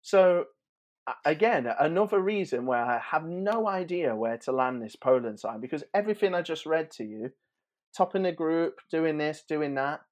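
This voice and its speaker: A man's voice: on the high side, animated, normal speed. A man in his thirties chatting informally and a little hesitantly as a podcast host previewing sport. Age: 30s. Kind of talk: casual football preview chat.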